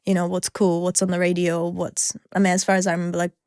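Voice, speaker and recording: Young woman, from New Zealand, interviewer, chatty and encouraging, slightly low voice, dry close sound. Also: completely flat delivery, fast, darkish tone, clear, resonant tone, no echo. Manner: chatty, encouraging, filler-heavy. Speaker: interviewer, musician. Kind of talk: casual sit-down interview.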